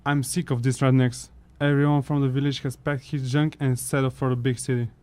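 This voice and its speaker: A man's voice: mid-range voice, little pitch movement, fairly quick, darkish tone, close to an everyday voice. A man playing a brooding, sardonic chimera wanderer in a fantasy audio drama, grumbling his way through monologues. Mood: grumbling, fed up.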